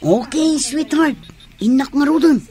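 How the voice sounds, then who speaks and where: A woman's voice: sits high, a little slow. A woman playing a devoted, dramatic tomboy lover in an Ilocano radio drama. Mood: affectionate.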